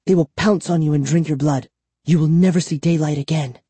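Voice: medium pitch, fairly even intonation, a little fast, mellow, dry recording, voice pushed up, close to an everyday voice. A narrator voicing a bitter, frightened twelve-year-old girl held prisoner, trembling and urgent, in a storybook reading. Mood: hushed, urgent. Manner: breathy sighing, urgent and trembling.

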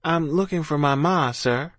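A man's voice: fairly high pitch, a little slow, fairly bright tone, dry recording, pitched above the performer's own voice. A man voicing a frightened, polite young girl disguised as a boy, with rustic, deferential speech. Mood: timid, polite.

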